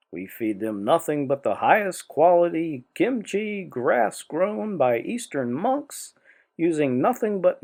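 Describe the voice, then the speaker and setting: Man voicing a lively, salesy tour guide. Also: mid-range voice, exaggerated voice. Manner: rehearsed, salesy, formal. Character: polished, corporate-proud factory tour guide. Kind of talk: scripted audio play acting.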